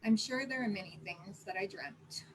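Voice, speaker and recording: Soft-spoken woman reading a love poem. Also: mid-range voice, normal speed. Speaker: poet. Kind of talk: poetry reading. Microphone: video call. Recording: noisy video call audio.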